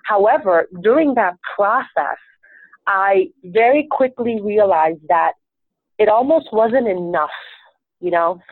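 A woman's voice: medium pitch, expressive, slow, dry recording. Upbeat woman on a tinny phone line. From Venezuela. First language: Spanish. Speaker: life coach, guest. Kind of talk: podcast interview answers.

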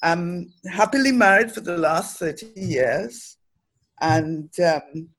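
A woman's voice: lowish voice, lively intonation, takes it slowly, a bit bright, husky, low-quality recording. A middle-aged woman, speaking thoughtfully and earnestly as the guest in an interview. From Trinidad. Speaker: interview guest, academic and development professional. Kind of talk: reflective interview conversation.

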